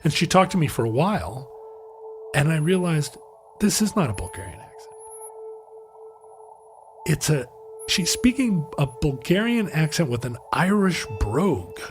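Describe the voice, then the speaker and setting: A man's voice: on the high side, big pitch swings, measured pace, long pauses, soft background music. A man co-hosting a podcast, telling a casual anecdote in a rambling, self-correcting way. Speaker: podcast co-host. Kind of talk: casual chat, storytelling.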